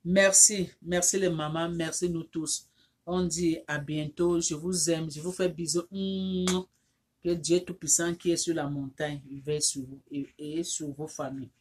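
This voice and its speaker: A woman's voice: sits low, fairly even intonation, measured pace, husky. A woman who is not a native French speaker, leading an informal prayer and appeal for her viewers, repetitive and fervent, with many restarts, who rises into insistent pleading as she prays. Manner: rises into loud insistent pleading when praying. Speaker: online counselor leading prayer.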